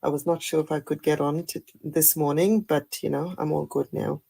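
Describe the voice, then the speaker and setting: A woman with a croaky voice after the flu, chatting through a live card-making tutorial with many fillers and apologies. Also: low voice, steady tone, normal speed, mellow. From Australia. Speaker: craft demonstrator.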